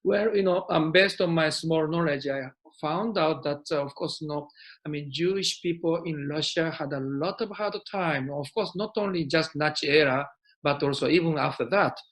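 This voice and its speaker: Higher-voiced male interviewer. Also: moderate pace, fairly bright tone. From Japan. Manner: hesitant phrasing with fillers, asking probing questions. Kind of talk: interview questions.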